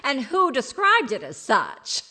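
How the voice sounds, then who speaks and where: A woman playing a patient, gently dry old wife who is the straight woman in an old-time radio comedy. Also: high-pitched, wildly swooping pitch, unhurried, exaggerated voice.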